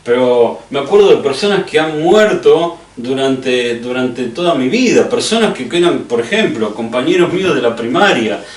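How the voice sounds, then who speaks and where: A man's voice: medium pitch, expressive, moderate pace, few pauses. A middle-aged man with a Rioplatense Spanish accent preaching conversationally, addressing the listener directly and earnestly with rhetorical questions. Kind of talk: conversational sermon. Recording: echoey room, distant microphone.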